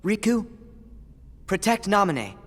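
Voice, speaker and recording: A brave, emotional teenage boy hero from a video game, in a long reverb. The delivery is slow and earnest, swinging expressively in pitch with emphatic, heartfelt weight. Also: very animated, fairly bright tone, full character voice. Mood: calm trust. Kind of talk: dramatic video game voice acting. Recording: long reverb, faint music behind.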